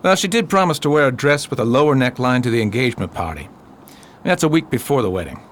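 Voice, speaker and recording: Man playing dry skeptical investigator, radio drama, medium pitch, light background noise. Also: expressive, fast, natural voice. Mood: friendly, matter-of-fact. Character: plain-spoken, skeptical investigator, a loyal friend. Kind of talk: radio mystery drama acting.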